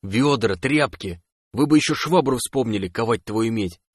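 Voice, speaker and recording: Man acting out gruff, barking, hot-tempered stalker. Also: medium pitch, expressive, moderate pace, dry recording, studio quality, lightly acted. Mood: irritated. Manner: barking, colourful mock curses.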